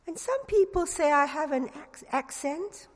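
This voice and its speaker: A woman's voice: high-pitched, lively intonation, measured pace. Singaporean lady preacher, bit of london slang, earnest and a little jokey, church echo hanging after every word. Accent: Singaporean English with a touch of London slang. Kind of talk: sermon.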